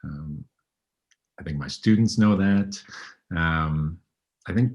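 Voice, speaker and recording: A man in his thirties, a veterinary radiologist being interviewed, talking in a low, even, unhurried way with frequent ums. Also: muffled recording. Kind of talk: conversational interview.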